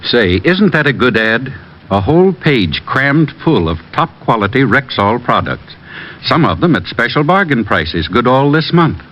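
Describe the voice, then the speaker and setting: Animated vintage male radio pitchman. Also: a little slow. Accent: American. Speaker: commercial announcer. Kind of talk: scripted 1940s-50s radio advertisement. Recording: dull old radio broadcast.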